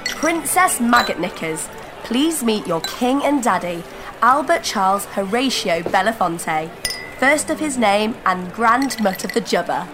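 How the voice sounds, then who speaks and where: A woman's voice: mid-range voice, lively intonation, a little slow, natural voice. Woman as a smug, breezy villainous starship captain. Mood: grandly announcing. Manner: breezy, theatrical announcing, boastful. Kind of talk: audio comedy drama acting. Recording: music under the voice.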